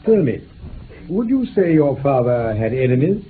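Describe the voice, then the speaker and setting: A man's voice: medium pitch, very animated, moderate pace, lightly acted. Man acting a stern coroner, tinny old radio. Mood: stern, sceptical. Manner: formal, probing, pointed. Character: stern, sceptical male coroner questioning a witness. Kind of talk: 1960s British radio drama acting. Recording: tinny, band-limited old radio recording with hiss.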